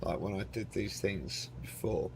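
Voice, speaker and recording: A man with a gruff, fry-laden voice narrates his own gameplay in a loose, joking way, swearing now and then. He mutters to himself and speaks to his chat. Loud aircraft engine noise roars under his voice. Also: deep voice, a little slow. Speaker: game streamer. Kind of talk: casual live commentary while playing a flight simulator.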